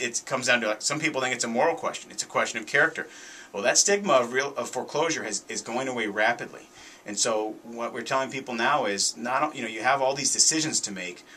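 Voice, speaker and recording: A man with a bright, forward voice hosts a talk radio show. He speaks conversationally but emphatically, circling back to repeat his points. There is faint noise behind him. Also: medium pitch. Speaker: radio show host, realtor.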